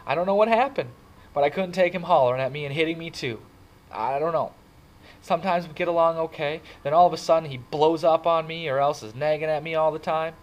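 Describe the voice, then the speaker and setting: A man's voice: a little high, brisk, lo-fi sound, voice pushed up, close to an everyday voice. A man reading a storybook, voicing an emotional teenage boy who pleads and protests in a raised voice. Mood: upset, hurt. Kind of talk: dramatic audiobook character reading.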